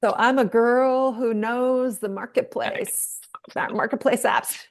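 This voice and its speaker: A woman's voice: fairly high pitch, fairly even intonation, slow pace, vocal fry. A middle-aged woman hosting a casual online chat on a video call, bubbly and emphatic, often laughing. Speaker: host.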